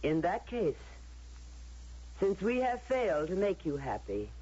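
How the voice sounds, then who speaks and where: A stern female council leader in an old radio drama. She pronounces slowly and formally, with wide melodic swings and a husky edge. Her calm is merciless. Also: lowish voice, full character voice. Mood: solemn, merciless. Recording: dull lo-fi radio drama recording.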